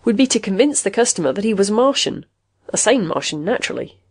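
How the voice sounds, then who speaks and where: A woman's voice: mid-range voice, very animated, darkish tone, husky, dry recording. Woman reading a dry, authoritative male expert. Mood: dryly explaining. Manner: measured, dry explanations. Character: authoritative, matter-of-fact male technical expert.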